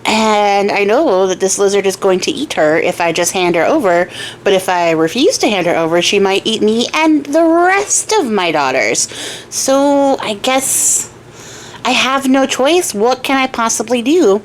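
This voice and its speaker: A woman's voice: medium pitch, lively intonation, a little slow, slight background noise, natural voice, low-quality recording. A woman voicing a frightened, dithering peasant father in a folktale, hesitant and rambling as he pleads. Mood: torn, resigned.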